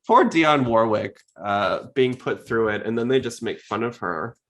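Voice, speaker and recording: A man's voice: medium pitch, animated, moderate pace, a bit bright. A man hosting a podcast in casual chat, rambling along in a chatty, jokey way. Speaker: podcast host.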